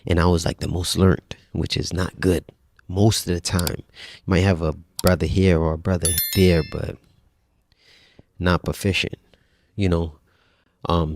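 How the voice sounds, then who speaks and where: Male guest, 30s: very low, gravelly voice, dry close sound, relaxed storyteller. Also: moderate pace, long pauses, dry recording. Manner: relaxed, conversational, frequent restarts and religious phrases. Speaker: podcast guest. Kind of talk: personal storytelling in casual conversation.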